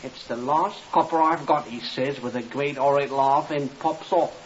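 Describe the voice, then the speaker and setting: A man's voice: medium pitch, fairly even intonation, normal speed, close to an everyday voice. An actor playing a garrulous, indignant barber telling his tale in a 1950s radio drama. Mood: chatty. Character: garrulous, indignant small-town English barber. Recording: dull, hissy 1950s radio recording.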